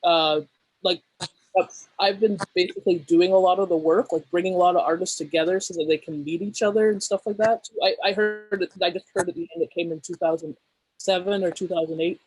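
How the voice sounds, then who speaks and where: A man's voice: high voice, fairly even intonation, fairly quick, poor audio. A man on a roundtable panel who organizes artists, speaking hesitantly and a bit defensively. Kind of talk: casual discussion.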